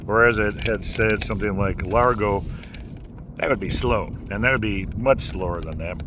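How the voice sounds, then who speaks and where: A man with a low voice teaching singing in a folksy, rambling way, with many fillers and restarts. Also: moderate pace. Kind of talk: casual instructional talk. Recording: noisy background.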